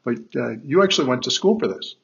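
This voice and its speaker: Male podcast host, middle pitch, halting with lots of "uh", dull lo-fi audio. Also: lively intonation, creaky. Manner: hesitant, many fillers and restarts. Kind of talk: podcast interview.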